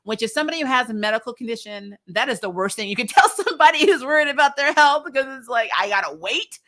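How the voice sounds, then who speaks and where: A woman's voice: on the high side, animated, quick pace, sharp tone. A woman hosting a recap show, reviewing in a casual, chatty, slangy way and animatedly retelling things. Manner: chatty, slangy, punctuated with laughter.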